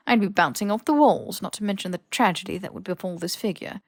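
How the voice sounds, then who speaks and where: A woman's voice: mid-range voice, fast talker, no room sound, studio quality, close to an everyday voice. A woman voicing a haughty, snippy young male wizard with sneering, clipped, posh phrasing in an audiobook. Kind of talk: audiobook character voice.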